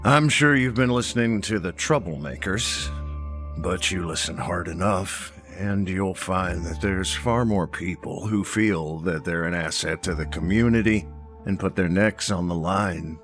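An old small-town sheriff in a horror audio drama. He speaks slowly in a lowish voice, folksy and matter-of-fact. The menace is quiet and stated plainly, with faint music underneath. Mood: measured, menacing.

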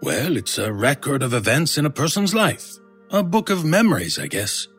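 A man's voice: a little high, big pitch swings, normal speed, a bit bright, slightly rough, full character voice. An actor playing a flustered, skeptical man in a horror audio drama, theatrical and hesitant in delivery, with music underneath. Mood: skeptical, puzzled. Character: flustered, skeptical shop man in a ghost story.

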